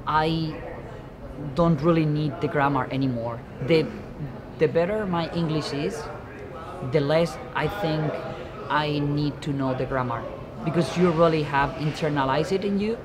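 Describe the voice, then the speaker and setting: A speaker giving a deliberate, reflective interview answer. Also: mid-range voice. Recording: crowd chatter in background.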